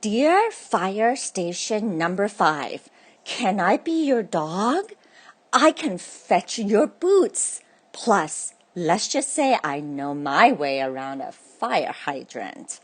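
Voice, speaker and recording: A woman's voice: medium pitch, big pitch swings, slow. A woman voicing a hopeful, lonely stray dog, eager and pleading, in a children's read-aloud.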